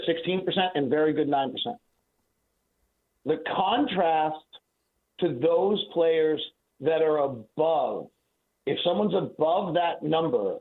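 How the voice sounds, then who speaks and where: Man, higher-pitched voice, phone-like sound, sports analyst, emphatic, argumentative, conversational. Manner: emphatic, repeats himself for stress, argumentative. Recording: tinny phone-like line.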